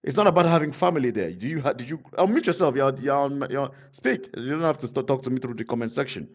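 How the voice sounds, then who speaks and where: Picture a man running a live online debate, his voice sitting at an ordinary middle pitch. He gets exasperated quickly, stammering and repeating himself as he pushes his point. The audio is muffled and lo-fi, like a stream captured through a modest mic. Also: animated. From Nigeria. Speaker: host of a live discussion. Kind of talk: heated livestream debate.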